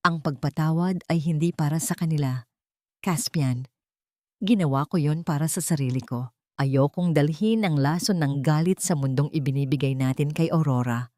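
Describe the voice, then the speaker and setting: A woman with a Filipino accent voicing a gentle, forgiving young wife and mother in a romance audiobook, tender and earnest. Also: sits low, moderate pace, no room sound, studio quality, close to an everyday voice. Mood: calm, resolute. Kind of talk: audiobook character dialogue.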